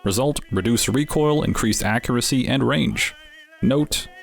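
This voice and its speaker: A man's voice: medium pitch, measured pace, vocal fry, faint music behind. A man giving scripted narration as the host of an explainer series, with wry, dryly humorous asides.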